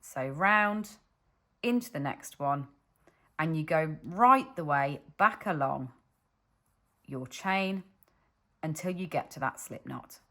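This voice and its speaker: A woman's voice: sits low, expressive, slow, long pauses, pushed and bright. A woman with a British accent teaching crochet stitches step by step, patiently explaining as she demonstrates. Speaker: crochet instructor. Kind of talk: step-by-step tutorial. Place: indoors at a wooden table, hands only.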